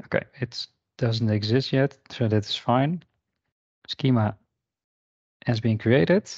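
A man narrating an unscripted screen-share software tutorial in an informal, explanatory way. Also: mid-range voice, dry recording, dull audio. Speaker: software demonstrator. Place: indoor voice-over for screen recording.

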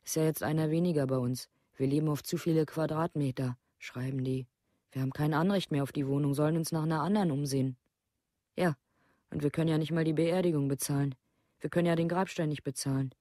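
A woman's voice: low-pitched, steady tone, fast, mellow, dry recording, clean studio sound, lightly acted. An actress playing an embittered, plain-spoken village mother in a documentary radio play, blunt and repetitive. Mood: bitter, aggrieved.

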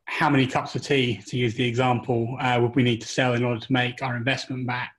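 A man's voice: mid-range voice, flat delivery, brisk, fairly bright tone. A man, a returning co-host, explaining recent work in a casual way, with fillers. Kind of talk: casual discussion.

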